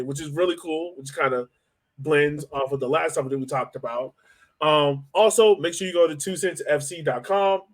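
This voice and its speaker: A man in his thirties hosting a casual podcast chat in a joking, teasing manner. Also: mid-range voice. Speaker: podcast host.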